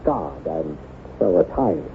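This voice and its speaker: Haunted aristocratic husband: anguished, formal, halting, very expressive pitch; narrow old-radio sound with light hiss. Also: male voice, gravelly, heavily acted. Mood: grave, haunted. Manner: formal, grave, halting theatrical delivery. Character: anguished, haunted nobleman husband devoted to his ailing wife. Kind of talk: old-time radio drama acting. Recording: noisy, narrow-band vintage radio broadcast.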